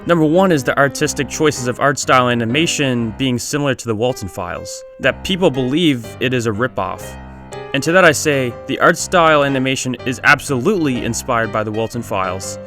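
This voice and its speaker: A man's voice: medium pitch, brisk, fairly bright tone. A man hosting a video essay, reading scripted narration. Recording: music behind the voice.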